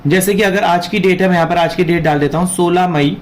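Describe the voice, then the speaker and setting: High-pitched man teaching, sore throat. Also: steady tone, a little fast. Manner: instructive, repeats and rephrases key points. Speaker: law teacher running an online class. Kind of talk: informal explanatory exam lesson, Hindi mixed with English terms.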